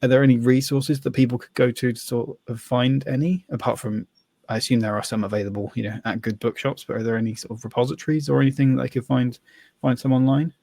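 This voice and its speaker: A man co-hosting a podcast in casual chat, speaking tentatively and haltingly with a self-deprecating manner. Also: mid-range voice, fairly even intonation, vocal fry, dark tone, dry recording. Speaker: podcast host.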